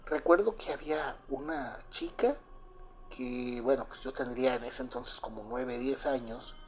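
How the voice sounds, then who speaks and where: Halting man with a Mexican accent. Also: mid-range voice. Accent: Mexican Spanish. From Cuba, raised in Mexico. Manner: halting, full of fillers and restarts, confiding. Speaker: storyteller. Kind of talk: first-person spoken recollection.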